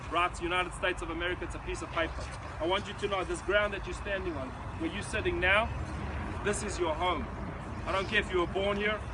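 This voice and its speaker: Middle-aged South African man, earnest, emphatic motivational speaker; noisy outdoor recording. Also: sits high. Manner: earnest, emphatic, acts out quoted shouting. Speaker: speaker addressing a visiting group. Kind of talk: motivational speech.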